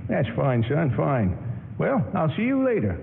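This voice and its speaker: An actor playing a genial, fatherly old hotel clerk with a creaky voice in a 1940s-style radio drama. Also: fairly high pitch, huge pitch swings, a little slow, heavily acted. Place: live stage in a town hall. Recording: tinny broadcast, hall reverb, music behind.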